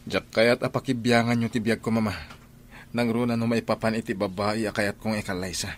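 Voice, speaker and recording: A man's voice: fairly low pitch, level tone, fairly quick, natural voice. A young man with an Ilocano accent playing a son who firmly defies his mother in a radio drama. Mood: defiant. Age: young adult. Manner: firm, earnest, defiant.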